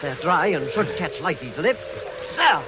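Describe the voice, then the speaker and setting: A man's voice: fairly high pitch, sing-song, normal speed, music in the background. A man playing a wise old wizard in a fantasy radio drama, grave and authoritative. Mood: urgent, commanding. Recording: very noisy sound-effects backdrop.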